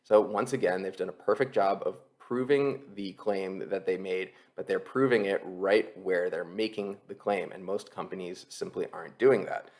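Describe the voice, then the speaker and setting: A man's voice: low voice, gravelly, darkish tone. A man presenting an explanatory screen-share tutorial as an instructor, talking conversationally and explaining things step by step.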